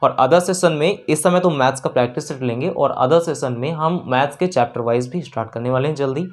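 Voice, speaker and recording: A man's voice: mid-range voice, a little fast. A man teaching an exam-prep lesson step by step, repeating phrases and mixing in English terms. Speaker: maths teacher.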